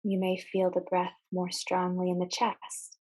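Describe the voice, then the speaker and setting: A woman leading a guided meditation, giving measured instructions in short phrases with deliberate pauses. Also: on the low side, completely flat delivery, darkish tone, low-quality recording.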